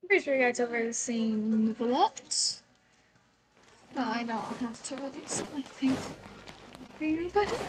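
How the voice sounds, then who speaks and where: A woman's voice: a little high, unhurried, pauses often, low-quality recording. A woman chatting casually as she gives commentary on her gameplay. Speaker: game streamer. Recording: noticeable background noise.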